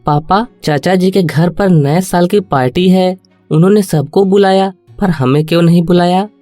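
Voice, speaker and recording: A man's voice: high-pitched, moderate pace, mellow, no echo, soft background music, voice pushed up, lightly acted. A man voicing a sad, innocent, earnest poor little boy in a cartoon moral story. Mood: plaintive.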